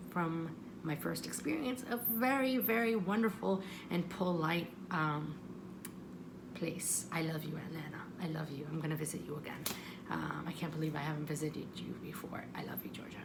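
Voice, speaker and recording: Rambling, creaky-voiced woman chatting casually. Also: lowish voice, background noise. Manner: self-interrupting, repeats phrases for emphasis. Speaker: vlogger giving audition advice. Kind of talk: casual rambling advice chat.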